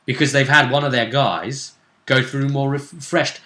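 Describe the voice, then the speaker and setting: A man commentating as host on a fantasy role-play tournament, with deliberate, halting phrasing. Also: medium pitch, steady tone, pushed and bright. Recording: roomy, echoing sound.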